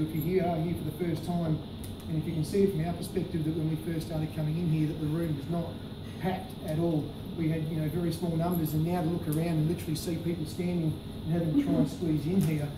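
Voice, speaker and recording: A loud, friendly man rambles quickly through a talk, full of "uh"s and asides. He sounds set back from the mic in an echoey hall, with plenty of noise behind him. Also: fairly high pitch. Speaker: business presenter. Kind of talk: speaking at a meeting. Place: packed meeting room. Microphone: handheld microphone held away. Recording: echoey room, distant mic, noisy.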